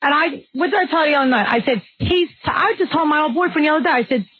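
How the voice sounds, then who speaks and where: A woman guest on a radio show, talking loudly and brashly about her boyfriend. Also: high voice, dry recording. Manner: loud, brash, drawn-out exclamations. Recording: tinny phone-like radio audio.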